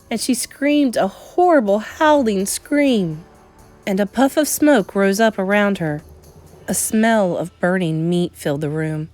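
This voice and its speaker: A woman reading a children's story aloud as an expressive narrator. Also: medium pitch. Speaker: storybook reader.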